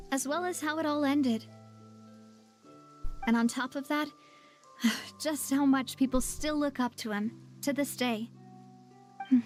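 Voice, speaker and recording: A woman playing a poised, spirited young lady in an anime game, speaking in a warm, candid, reflective way. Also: high-pitched. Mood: reflective, tender. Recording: faint music behind the voice.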